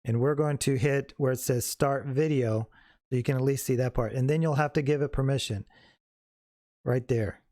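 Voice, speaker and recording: A man giving a casual, unscripted software tutorial in an informal, easygoing way. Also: mid-range voice, normal speed, no room sound, studio quality. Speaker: host of a how-to tutorial.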